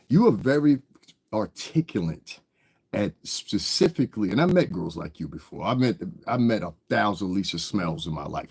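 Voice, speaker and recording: A man's voice: sits low, vocal fry, darkish tone, husky. A man with a stuffy cold, giving rambling casual livestream commentary to his viewers, often checking they understand. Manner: rambling, talks straight to viewers, often asks "you understand". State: congested from a cold.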